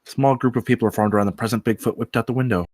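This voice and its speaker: A man's voice: mid-range voice, fairly even intonation, very fast, a little mellow, no room sound, studio quality. A man reading screenplay stage directions aloud as scripted narration.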